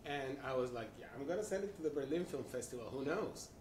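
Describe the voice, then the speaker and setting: A man speaking on a live panel in a halting, joking way with many restarts. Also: medium pitch, animated, a little mellow, far from the mic, a little hiss or hum. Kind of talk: casual panel talk. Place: event space with audience. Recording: roomy sound from off mic.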